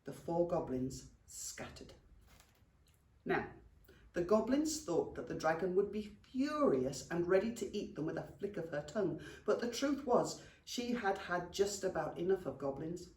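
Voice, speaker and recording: A woman's voice: fairly low pitch, unhurried. A British woman reading a children's story expressively to young pupils, like a teacher at storytime. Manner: animated, addresses young listeners fondly. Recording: roomy echo, distant microphone.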